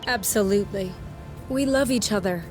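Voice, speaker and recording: A woman's voice: mid-range voice, normal speed, over music, lightly acted. A young woman playing an earnest, stubborn and direct young lover in a dramatic video game. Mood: defiant, earnest. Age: young adult.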